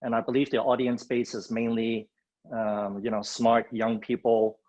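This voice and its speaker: Male guest speaker from Hong Kong, casual and chatty, mid-pitched, muffled call audio. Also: flat delivery, moderate pace, darkish tone. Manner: loose and conversational, many fillers. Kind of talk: webinar presentation. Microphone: video call. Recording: dull lo-fi video call audio.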